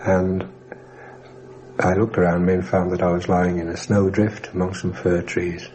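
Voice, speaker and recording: A man recounting his own wartime experience for a radio documentary in a measured, matter-of-fact way, on a dull, hissy 1960s recording. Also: sits low, little pitch movement, a little mellow. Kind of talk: first-person recollection for a radio documentary.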